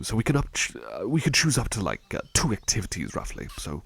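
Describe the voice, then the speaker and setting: Man playing a husky, polite, devoted butler. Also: fairly low pitch, full character voice. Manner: hesitant, murmuring, repeats phrases reassuringly. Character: devoted polite male butler, eager, gently fussy. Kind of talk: ASMR roleplay, soft personal chat.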